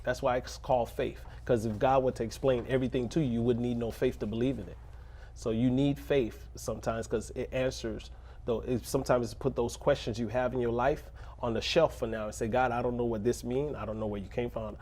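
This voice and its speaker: A man who grew up in a rough neighborhood, speaking as a pastor in a casual interview, earnest and preacherly, breaking into laughs and jokes. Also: mid-range voice, fairly even intonation, a little fast, darkish tone, slight background noise. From Little Haiti, Miami.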